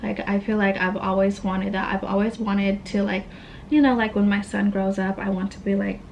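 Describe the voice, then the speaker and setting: Woman, late twenties, from Tacoma Washington, casual makeup chat, gravelly fry, noisy room behind. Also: mid-range voice, flat delivery, moderate pace, darkish tone. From Tacoma, Washington, USA. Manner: chatty and candid, heavy on "like", slangy and profane. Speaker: beauty vlogger. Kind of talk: casual get-ready-with-me Q&A chat.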